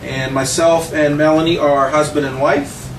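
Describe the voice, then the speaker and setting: A man's voice: fairly high pitch, fairly even intonation, moderate pace. A man in his forties with an American accent, speaking casually and conversationally in a self-introduction to camera. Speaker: paranormal investigator. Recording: echoey room, distant mic, faint noise.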